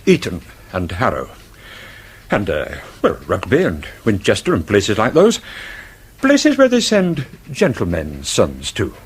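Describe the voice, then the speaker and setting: Here is an actor as a prickly office worker in a period radio drama. His slightly rough voice swoops up and down. He speaks formally, like someone forever slighted and conscious of class. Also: on the low side, huge pitch swings, unhurried, exaggerated voice. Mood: resentful, haughty. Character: touchy, class-conscious male office worker nursing grievances.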